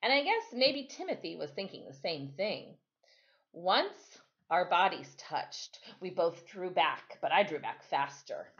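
A middle-aged woman reading a children's novel aloud in a measured, expressive storytime style at home. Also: fairly low pitch. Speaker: storytime reader. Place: small furnished room at home. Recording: slightly dull, lo-fi webcam sound.

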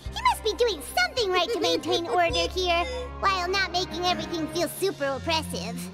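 A little floating fairy girl from a video game, childlike and chirpy. The voice is extremely high and squeaky, swinging wildly in pitch. Loud game music plays behind it. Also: expressive, a little fast. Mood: chatty. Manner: chirpy, refers to herself in the third person. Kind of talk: animated video game acting.

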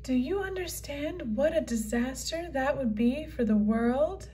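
A woman's voice: high voice, animated, unhurried, mellow, distant mic, slight background noise. A woman voicing a vain and elegant female unicorn, speaking grandly in a children's comic read-aloud. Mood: grave. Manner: grand, formal storybook delivery.

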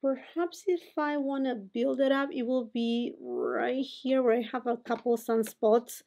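A woman's voice: on the high side, measured pace, creaky. Chatty Peruvian-accented woman in her forties. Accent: Peruvian Spanish. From Peru. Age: 40s. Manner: animated, gestures a lot while talking. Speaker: beauty vlogger. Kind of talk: makeup tutorial chat. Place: home room with holiday decor.

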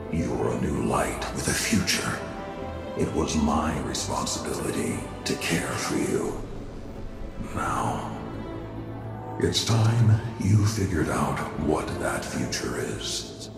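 Very deep, gravelly stern mentor. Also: male voice, exaggerated voice. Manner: deliberate, admonishing. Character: stern old male warrior mentor, commanding and weary. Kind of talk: dramatic video game acting. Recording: echoing, loud music underneath.

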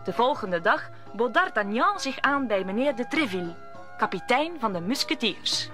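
A woman's voice: a little high, big pitch swings, moderate pace, bright, forceful tone, soft background music. A woman narrating a scripted radio play as a storyteller.

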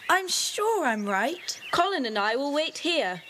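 Woman doing an excitable little girl, very high voice, animated, faint hiss. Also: unhurried, heavily acted. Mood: eager. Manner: eager, excitable. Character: eager young girl Pam.

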